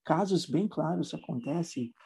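Male teacher, mellow husky voice, halting conversational delivery. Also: a little high, animated. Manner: hesitant, many fillers and restarts. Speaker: English teacher. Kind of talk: casual interview talk.

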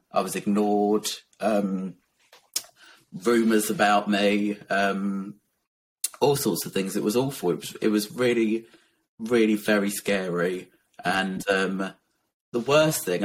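A man from Essex with a soft, gentle voice, a little croaky and tired, talking candidly and reflectively as a guest, with many ums and soft laughs. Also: on the low side. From Essex, England. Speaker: guest, children's author. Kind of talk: casual interview talk.

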